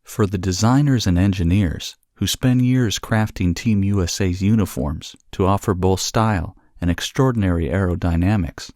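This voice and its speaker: A man with a low voice reads in a measured, explanatory narration style. The sound is dry and free of room echo. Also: darkish tone. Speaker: narrator of model read-aloud answers. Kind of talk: scripted read-aloud narration.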